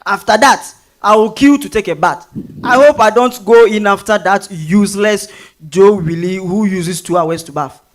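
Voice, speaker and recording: A man's voice: high-pitched, normal speed, close to an everyday voice. Man acting a grumbling, lazy Ghanaian husband. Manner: offhand, complaining. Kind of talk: dramatized stage reading.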